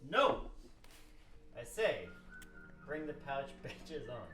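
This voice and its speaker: A man's voice: mid-range voice, big pitch swings, drawn-out words, a bit bright, exaggerated voice. A man playing a hot-headed, foul-mouthed, defiant scientist in an amateur radio play, blustering and exasperated. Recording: roomy, distant mic with music behind.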